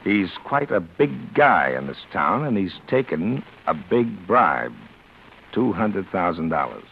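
An actor playing a bossy, shady American crook in vintage radio drama, brusque and full of gangster slang. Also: male voice, deep voice, big pitch swings, slow, gravelly, heavily acted. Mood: conspiratorial. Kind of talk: 1940s-50s radio comedy-adventure acting. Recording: dull old radio recording, faint noise.

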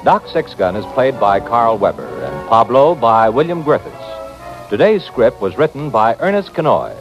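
Animated vintage American radio announcer, male. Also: medium pitch, moderate pace. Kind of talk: 1950s radio credits announcement. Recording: muffled old radio broadcast. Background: music.

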